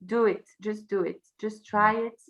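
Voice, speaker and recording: Creaky-voiced Belgian French woman. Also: mid-range voice, steady tone, fairly bright tone. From Brussels, Belgium. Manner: halting non-native English, searching for words. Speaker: interview guest, singer and teacher. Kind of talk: casual conversational interview.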